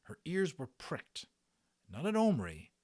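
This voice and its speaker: Expressive male storybook narrator, very animated. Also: a little high, slow. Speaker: audiobook narrator. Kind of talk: dramatic children's storybook reading with casual asides.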